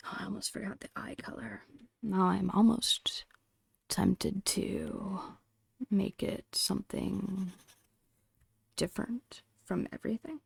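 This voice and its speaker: A woman whispering a casual, gentle ASMR drawing tutorial in a breathy, unhurried voice. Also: medium pitch, steady tone, dry recording. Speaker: ASMR host and digital artist.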